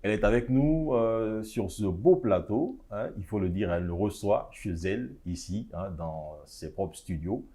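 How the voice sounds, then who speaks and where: Deep, mellow-voiced male talk show host. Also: lively intonation, measured pace, hardly any pauses. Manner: easygoing, with frequent backchannel murmurs. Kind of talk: relaxed interview hosting. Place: studio set.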